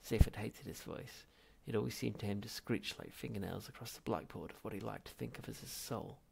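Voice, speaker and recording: A man's voice: on the low side, fairly quick, gravelly, breathy edge. A man reading a novel aloud as scripted story narration. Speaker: audiobook reader.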